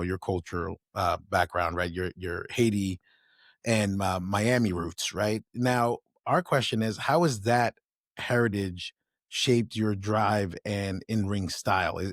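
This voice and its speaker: A man co-hosting a podcast, asking a guest questions in a measured, methodical way. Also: on the low side, dry recording, studio quality. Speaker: podcast co-host. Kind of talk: casual interview chat.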